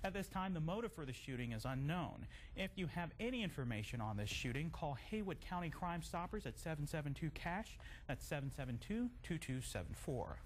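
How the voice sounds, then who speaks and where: A man with an American accent reading scripted local TV news as an anchor and reporter. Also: on the high side. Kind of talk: scripted local TV newscast delivery. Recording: noticeable background noise.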